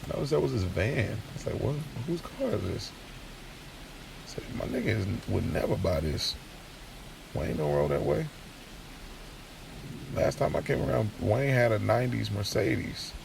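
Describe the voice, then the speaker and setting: Man acting a gravelly, slangy streetwise guy. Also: fairly low pitch, sing-song, measured pace, long pauses, distant mic, background noise, full character voice. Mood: excited, puzzled. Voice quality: gravelly, creaky. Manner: conversational, slangy storytelling. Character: streetwise young man just out of jail, reminiscing. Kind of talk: first-person audio drama narration in casual street slang.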